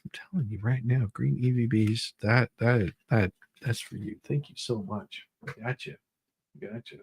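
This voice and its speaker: A man's voice: mid-range voice, unhurried, vocal fry, breathy edge. A man hosting a livestream with casual, rambling chat, often repeating his phrases. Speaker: livestream host.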